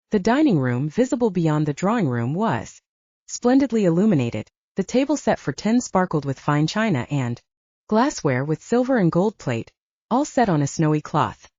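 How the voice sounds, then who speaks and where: Dark-voiced woman narrating, lively pitch. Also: dry recording. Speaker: audiobook narrator. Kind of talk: scripted literary narration. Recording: slightly dull, lo-fi close recording.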